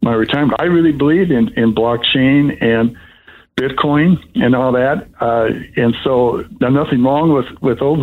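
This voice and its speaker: An older man, sixties or above, giving an unscripted, rambling personal account as a caller, in a halting way. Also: mid-range voice, narrow, tinny audio. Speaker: caller telling of being scammed.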